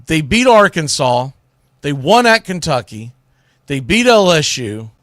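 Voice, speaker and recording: Bright, punchy male sports talk host. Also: on the high side, big pitch swings, a little slow, no echo, studio quality. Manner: blunt, emphatic, colloquial. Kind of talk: opinionated college football commentary.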